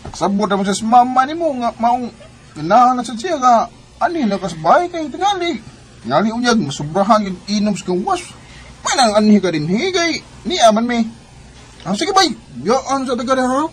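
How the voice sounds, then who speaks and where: Friendly young Cebuano pal, radio drama. Animated high voice, faint background noise. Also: male voice, normal speed, poor audio. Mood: welcoming, casual. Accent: Cebuano Bisaya. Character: friendly, hospitable young male buddy. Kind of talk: Bisaya radio drama acting.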